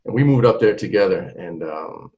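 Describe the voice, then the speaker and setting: A man in his forties talking about his music career in a casual interview, animated and full of restarts and repeated words. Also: sits low, moderate pace. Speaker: trumpeter and singer, interview guest. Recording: dull lo-fi sound.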